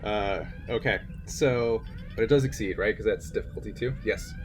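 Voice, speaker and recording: A man's voice: lowish voice, moderate pace. A man running a tabletop roleplaying game among friends as game master, mixing casual rules talk with theatrical flourishes. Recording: clearly noisy background.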